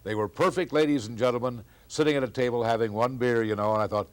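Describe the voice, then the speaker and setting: A man's voice: medium pitch, quick pace, dry recording. An older man, a radio veteran sharing memories in a group interview.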